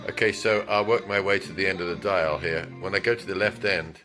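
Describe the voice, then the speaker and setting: Male repair tech, low register, informal and wry, unscripted demo, music in the background. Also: steady tone, a little fast. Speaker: radio repair technician demonstrating a converted car radio. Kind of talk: casual unscripted product demonstration.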